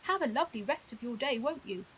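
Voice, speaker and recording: Woman putting on a hearty, lively male hippo. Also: fairly high pitch, quick pace, low-quality recording. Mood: cheery. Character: big friendly male hippo, cheery and decent. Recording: hissy background noise.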